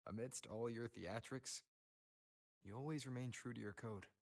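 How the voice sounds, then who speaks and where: A man's voice: medium pitch, normal speed, gravelly, darkish tone. A man voicing a loyal, observant companion in a story-driven video game. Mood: calm, approving.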